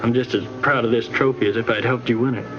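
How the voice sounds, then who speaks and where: A young man playing a gracious, brave bedridden high school athlete in a 1950s educational film, speaking with boyish sincerity. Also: steady tone, quick pace, close to an everyday voice. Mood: grateful, wistful. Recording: muffled old film soundtrack with music.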